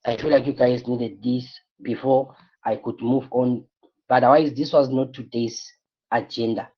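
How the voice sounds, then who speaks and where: A man's voice: mid-range voice, fairly even intonation, moderate pace, husky, lo-fi sound. A tired man, coaching a live online class and repeating his points with rhetorical questions. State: tired, voice strained.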